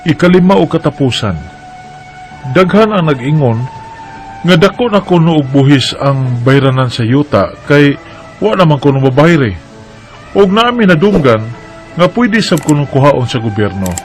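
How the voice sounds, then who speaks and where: A man's voice: a little high, animated, moderate pace, long pauses, soft background music, natural voice. A man with a Cebuano Filipino accent playing an earnest adult son in a radio drama. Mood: earnest, concerned. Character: earnest adult son working abroad, worried for father. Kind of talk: radio drama, reading a letter aloud.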